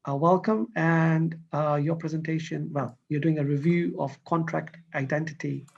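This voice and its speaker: A polite conference chair keeps the session moving with short, welcoming remarks. The voice is husky and gravelly, and it comes through dull and distant over the online link. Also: medium pitch, steady tone, normal speed, darkish tone.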